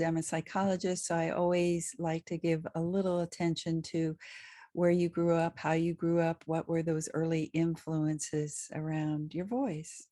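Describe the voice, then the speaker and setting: Low-voiced woman hosting an interview. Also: mellow, dry recording, studio quality. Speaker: podcast host and psychologist. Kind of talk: conversational podcast interview.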